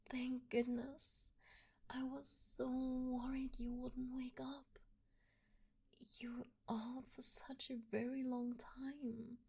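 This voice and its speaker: Yandere kidnapper played by a woman: breathy, creaky, coaxing and possessive, intimate ASMR roleplay delivery often sinking to a whisper. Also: sits high, heavily acted. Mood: hushed, worried. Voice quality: breathy, frequently dropping into whispers. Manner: soft, coaxing, slow, with hesitant pauses. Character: obsessive, lovestruck yandere female kidnapper, doting and possessive. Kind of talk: ASMR roleplay, intimate one-sided address to the listener.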